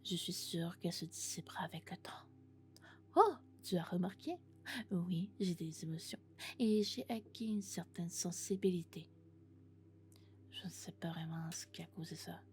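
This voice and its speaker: A woman playing a friendly, doting female robot in an ASMR roleplay, speaking gently and often in whispers. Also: lowish voice, lively intonation, moderate pace. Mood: tender, reassuring. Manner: gentle, reassuring, alternating soft speech and whispers. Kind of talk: French ASMR roleplay, soft-spoken and whispered.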